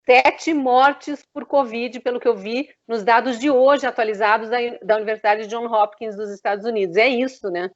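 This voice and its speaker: A woman's voice: a little high, fairly even intonation, normal speed, hardly any pauses. A woman with a Brazilian Portuguese accent, hosting a conversational live journalistic interview over dull online stream audio.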